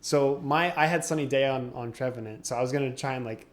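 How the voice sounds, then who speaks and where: A man's voice: medium pitch, fast talker. A young man, co-host of a casual podcast, explaining game mechanics at length and laughing often. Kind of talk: casual chat.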